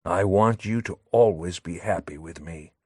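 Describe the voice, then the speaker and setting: Man doing a soft-spoken flattering young lover. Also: on the low side, measured pace, dry recording, clean studio sound. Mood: gentle. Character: smooth-talking young man, soothing his sweetheart.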